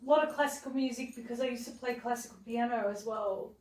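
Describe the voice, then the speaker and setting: A woman from Melbourne, an artist guest answering interview questions in a candid, relaxed way. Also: a little high, fast talker. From Melbourne, Australia. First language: Serbian and English, bilingual from childhood. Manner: candid, relaxed, casually swearing. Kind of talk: casual interview chat. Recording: roomy, distant from the mic.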